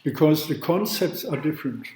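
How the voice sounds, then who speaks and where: A man from Germany, a law professor giving a university lecture in a halting way, with restarts and throat clearing. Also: a little high, lively intonation, a little mellow. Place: large classroom. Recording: echoey room.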